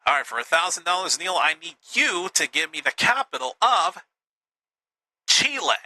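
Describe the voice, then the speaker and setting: A man hosting a live game show with an upbeat, teasing manner, a little hoarse. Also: high voice, very animated, moderate pace, pushed and bright, dry recording. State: slightly hoarse.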